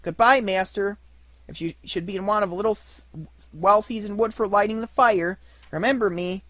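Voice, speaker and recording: A man's voice: high-pitched, normal speed, fairly bright tone, no room sound, pitched above the performer's own voice, poor audio. A man voicing a cheeky, talkative wooden puppet boy in a storybook reading, with mock-polite, theatrical delivery. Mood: cheerful taunting.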